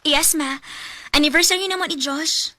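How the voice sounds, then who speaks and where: Bright, high-pitched young woman. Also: moderate pace, no room sound. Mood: affectionate, casual. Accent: Cebuano. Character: spirited young woman, frank friend or fond daughter. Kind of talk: Cebuano radio drama acting.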